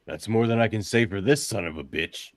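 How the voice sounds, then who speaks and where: A man playing a gruff, defiant mutant brawler in a radio play, terse and hot-tempered. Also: fairly low pitch, brisk, dry recording, lightly acted. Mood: gruff, wry.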